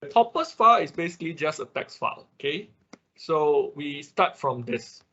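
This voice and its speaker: A man who speaks English as a second language, giving a live technical tutorial step by step in a halting manner, often checking with short tag words. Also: fairly high pitch, sing-song, fairly bright tone, breathy edge. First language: not English. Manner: halting, often repeats and checks with okay or right. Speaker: workshop instructor. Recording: dull, lo-fi online class audio.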